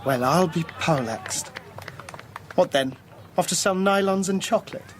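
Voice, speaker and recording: A man's voice: high-pitched, a little slow, slight background noise. A male actor playing a snobbish, privileged English schoolboy in a radio drama, haughty, clipped and sneering. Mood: scornful.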